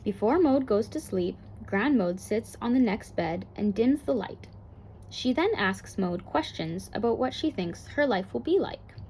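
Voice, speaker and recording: A woman's voice: fairly high pitch, lively intonation, a little slow, darkish tone, slight background noise, poor audio. A woman narrating a children's picture book aloud as a bedtime story. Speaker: storybook reader.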